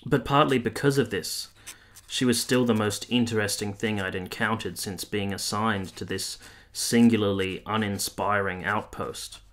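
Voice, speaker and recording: A man playing an ardent, self-regarding young army officer, narrating a period radio drama in a formal, measured, literary manner. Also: fairly low pitch, a bit bright, natural voice. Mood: wry reflection. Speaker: narrator and lead character.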